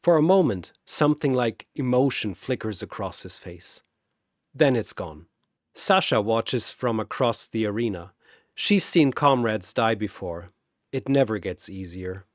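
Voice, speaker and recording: A man telling a scripted battle story in a measured, dramatic manner. He takes his time, and his pitch moves a lot through each line. The recording is dry and close. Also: expressive, no echo. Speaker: narrator.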